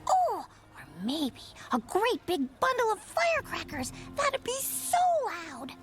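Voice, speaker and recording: A woman's voice: extremely high pitch, huge pitch swings, a little slow, soft background music, heavily acted. A woman voicing an eager, easily distracted little boy bee in a cartoon audio drama. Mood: gleeful.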